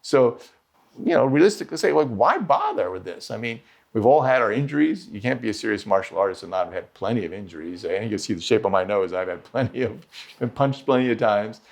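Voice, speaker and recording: A man teaching swordsmanship, answering questions in a rambling, self-interrupting and lively way. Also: lowish voice, fast talker, gravelly. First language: English. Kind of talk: conversational Q&A answers.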